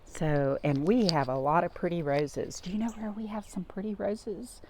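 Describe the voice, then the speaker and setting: Woman, lowish voice, talking to small children as storytime host; simple repeated phrasing, some room noise. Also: moderate pace, darkish tone. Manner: simple, repetitive phrasing with questions to young listeners. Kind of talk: read-aloud storytelling and craft talk for young children.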